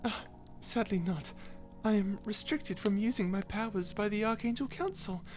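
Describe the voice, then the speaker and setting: A woman voicing a kind, dutiful guardian angel in a character audio roleplay, gentle, formal and measured. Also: mid-range voice, lightly acted. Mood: calm, dutiful.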